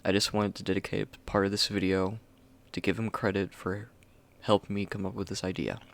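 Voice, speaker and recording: A man speaking softly as he introduces his ASMR roleplay video. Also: deep voice, little pitch movement, fairly quick, vocal fry.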